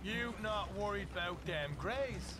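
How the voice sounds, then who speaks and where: An actor playing a brash, foul-mouthed young Irish outlaw in a video game. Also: male voice, sits high, unhurried, noisy background. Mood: cocky. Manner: brash, boastful, talkative.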